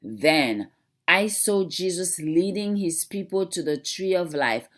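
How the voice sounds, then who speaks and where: Woman doing a devotional reading, slow n reverent, lowish voice. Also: animated, soft background music. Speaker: devotional reader. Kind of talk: devotional reading of scripted religious text.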